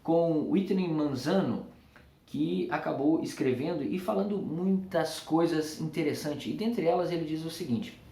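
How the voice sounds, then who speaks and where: An earnest Brazilian man speaks to camera with a Brazilian Portuguese accent, leaning into emphatic, repeated phrases like a preacher. His voice sits fairly high for a man. The room gives it a noticeable echo. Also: unhurried, vocal fry, mellow. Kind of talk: reflective tribute talk to camera.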